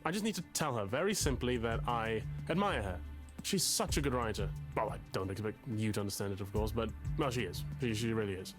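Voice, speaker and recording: A man's voice: on the low side, brisk, music in the background, lightly acted. An actor playing a pompous, anxious, lovesick male literature student in a video game, speaking in a verbose, formal and hesitant way. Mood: earnest, anxious. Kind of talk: visual novel game voice acting.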